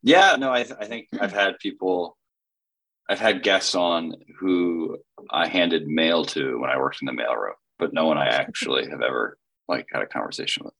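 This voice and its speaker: Halting very deep-voiced man host. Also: creaky, fairly bright tone, rough recording. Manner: halting, many fillers and restarts. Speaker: podcast host. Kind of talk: casual interview.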